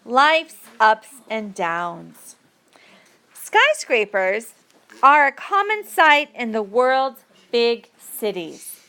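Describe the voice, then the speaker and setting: Slow-paced woman reading a lesson aloud. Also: a little high, very animated, bright, forceful tone, clean tone. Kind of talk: read-aloud educational narration.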